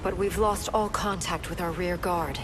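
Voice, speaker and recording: An actress playing a tense, troubled warrior princess in a video game. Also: female voice, on the low side, steady tone, normal speed, music in the background, close to an everyday voice. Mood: urgent. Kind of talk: video game cutscene acting. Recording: game cutscene with noisy ambience.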